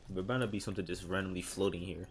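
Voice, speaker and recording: A young man with a low voice comments casually on a video game. He sounds offhand and slangy, with faint game music behind him. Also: fairly even intonation, racing. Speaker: gaming streamer. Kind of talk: casual let's-play commentary.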